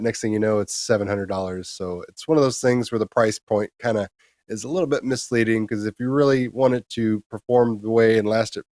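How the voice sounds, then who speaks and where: A man with a low voice co-hosts a gaming news show. He talks casually and gives his opinions in colloquial language, with a close, dry sound. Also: no echo.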